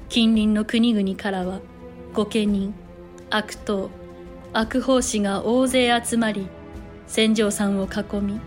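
Noblewoman narrator female actor, period audio drama: composed, courteous, unhurried, mid-range voice over light music. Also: medium pitch, close to an everyday voice. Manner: courteous, formal, measured storytelling. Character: dignified samurai mother recounting her sons' history.

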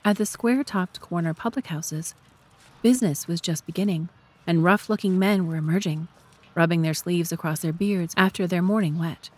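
A woman's voice: fairly low pitch, normal speed. A woman narrating a scripted audiobook story.

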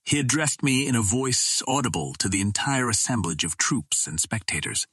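A man's voice: mid-range voice, sing-song, normal speed, bright, forceful tone, breathy edge, no room sound, clean studio sound. A man reading a first-person adventure novel aloud as scripted audiobook narration. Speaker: audiobook reader.

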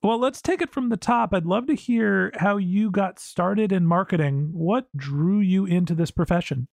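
A man hosts an interview podcast, upbeat and friendly as he reads the intro and asks questions. His voice sits a little high. The sound is dry and close, with no room to it. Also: moderate pace, vocal fry, a little mellow, no room sound. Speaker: podcast host.

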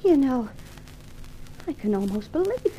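A woman's voice: high voice, sing-song, moderate pace, warm tone, slight background noise, poor audio. A woman playing a tough, teasing bodyguard in a 1950s radio thriller, with a wry, casual manner. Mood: amazed.

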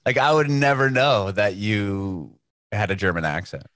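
A man's voice: on the low side, lively intonation, measured pace, a bit bright, no echo, studio quality. A man from San Diego hosting a music podcast, chatty and upbeat, with lots of fillers and restarts. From San Diego, United States. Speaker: podcast host. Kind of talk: casual interview chat and scripted intro.

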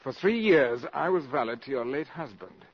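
An actor playing a calculating, ambitious valet in a 1950s radio drama, speaking formally and deferentially but with self-assurance. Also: male voice, medium pitch, expressive, normal speed, slightly rough. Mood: bold, intimate. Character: smooth, calculating, ambitious valet addressing a countess. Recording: muffled vintage 1950s radio recording.

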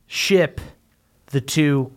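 A man playing a mild, hesitant book club member with a deadpan manner on an improvised comedy podcast. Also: on the high side, very slow.

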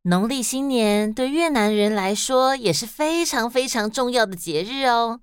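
Taiwanese woman storyteller, fairly high voice. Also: normal speed, smooth, no echo, studio quality. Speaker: host and storyteller of a children's podcast. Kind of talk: expressive children's story narration.

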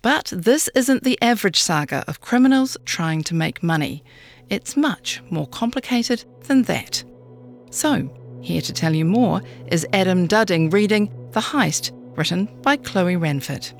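A woman's voice: on the low side, lively intonation, unhurried, faint music behind. A woman giving a scripted podcast introduction as host.

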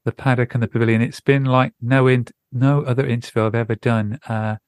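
A man with a smooth, clear voice in a middle register hosts a podcast interview. He talks conversationally, and the sound is dry with little room in it. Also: mid-range voice, little pitch movement, fairly quick, no room sound.

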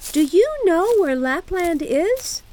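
A woman's voice: extremely high pitch, animated, slow, dark tone, voice pushed up, exaggerated voice, rough recording. A woman voicing a fierce, wilful little girl in a storybook, speaking in an imperious, emphatic way. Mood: conspiratorial, commanding.

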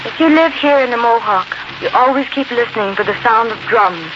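A woman's voice: a little high, fairly quick, close to an everyday voice. An actress playing a young, wistful and watchful frontier settler woman in a 1940s radio drama. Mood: wistful, uneasy. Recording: muffled, hissy 1940s radio recording.

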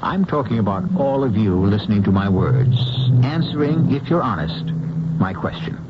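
A man hosting a radio drama with theatrical, deliberate scripted narration and a rhetorical, dramatic manner. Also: on the low side, animated, heavy background noise. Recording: muffled old radio, loud music behind.

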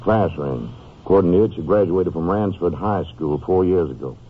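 Low-voiced, laconic Texas sheriff. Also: male voice, lively intonation, moderate pace, husky. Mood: sombre. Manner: laconic, plain-spoken. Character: sober, folksy male Texas county sheriff. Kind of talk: old-time radio crime drama. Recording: muffled, noisy vintage radio recording.

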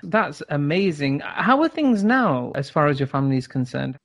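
A man's voice: fairly high pitch, very animated, moderate pace, creaky. A man hosting an interview, responding to his guest with affirming praise before asking the next question. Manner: warmly affirming, reacts with praise. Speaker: host. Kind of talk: conversational interview.

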